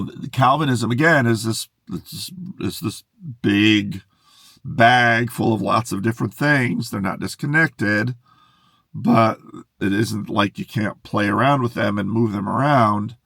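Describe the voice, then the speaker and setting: Middle-aged male pastor, low gravelly voice, rambling excitable monologue, dry car sound. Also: slow, no room sound. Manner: musing, self-interrupting, excitable. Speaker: pastor and video host. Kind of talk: unscripted monologue to camera. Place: inside a parked car in a closed garage. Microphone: camera in the car.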